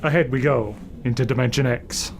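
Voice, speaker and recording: A man's voice: mid-range voice, fairly even intonation, fairly quick. A man hosting with a scripted, dramatic introduction to a radio drama. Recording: voice over clear music.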